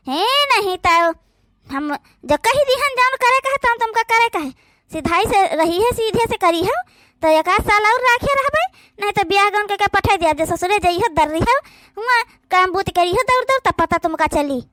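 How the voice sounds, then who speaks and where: A woman's voice: very high-pitched, dry recording, studio quality. A woman with an Awadhi accent voicing a bossy young sister-in-law who scolds and orders in a cartoon. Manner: sharp, scolding, ordering about. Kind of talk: cartoon voice acting.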